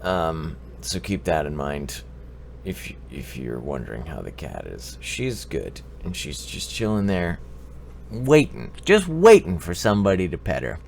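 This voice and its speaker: A low-voiced man with a stuffy nose from a cold hosts a live stream, his pitch swinging up and down a lot as he rambles and cracks jokes. A faint hiss sits behind his voice. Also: sing-song, a little slow, gravelly. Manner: rambling, joking, greets chat viewers by name. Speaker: livestream advice show host. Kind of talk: casual live-stream chat with viewers.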